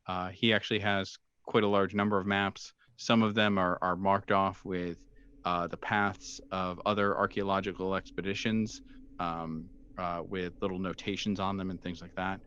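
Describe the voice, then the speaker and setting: A man running a horror tabletop game as game master, improvising his descriptions haltingly and searching for words. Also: low voice, little pitch movement, a bit bright, clear, resonant tone. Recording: dull, muffled sound with music behind. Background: background music.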